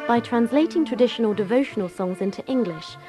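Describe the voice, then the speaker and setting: A woman's voice: on the high side, lively intonation, quick pace, warm tone, faint music behind. A woman presenting a radio documentary, reading scripted narration.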